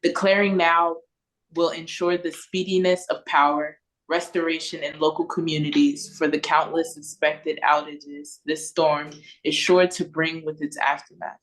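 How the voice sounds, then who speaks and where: A woman's voice: low-pitched, flat delivery, moderate pace, a bit bright, rough recording. A woman playing a formal local radio newscaster in an audio play read over a video call.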